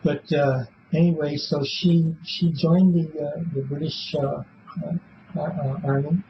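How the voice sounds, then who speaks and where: A man in his seventies answering questions in an interview, speaking casually and haltingly, repeating words and trailing off. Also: on the high side, steady tone. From born in Belfast, Northern Ireland; raised in Illinois from age seven. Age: 70s. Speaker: interviewee in a police polygraph interview. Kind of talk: casual, rambling spoken answers. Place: small police interview room. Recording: muffled, noisy interrogation room recording.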